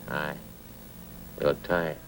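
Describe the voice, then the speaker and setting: Wounded policeman, deep, subdued, halting, gentle, noisy vintage radio drama. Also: little pitch movement, full character voice. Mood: weary. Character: tired wounded policeman in hospital, gentle and friendly. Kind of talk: 1950s radio drama acting. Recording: noisy old radio recording.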